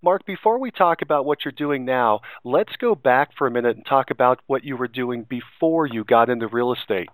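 A man's voice: mid-range voice, normal speed, few pauses, no room sound. A man hosting an interview podcast, delivering introductions and questions in a presenter's style. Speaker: podcast host.

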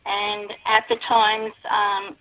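A woman hosting an interview, talking in an earnest, personal and slightly halting way. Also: medium pitch, monotone, no room sound. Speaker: interview host. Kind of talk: casual interview chat. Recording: dry, dull lo-fi recording.

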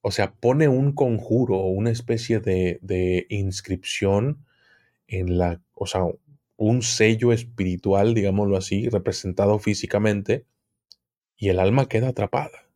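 A man with a Mexican accent speaking as an interview guest, explaining things conversationally and haltingly, often repeating words. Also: fairly low pitch, warm tone. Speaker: podcast guest, occultism expert.

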